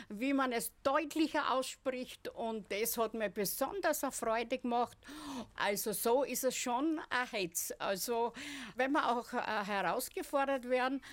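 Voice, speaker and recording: A woman in her late sixties with an Austrian accent, talking eagerly in an interview, with many hesitations. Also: a little high. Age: 60s. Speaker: amateur stage performer. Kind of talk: casual interview talk.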